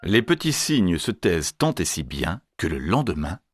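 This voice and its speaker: A man telling a children's storybook tale in an expressive, theatrical way. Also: low voice, normal speed, noisy background. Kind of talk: animated children's storybook narration. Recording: music behind the voice. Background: music.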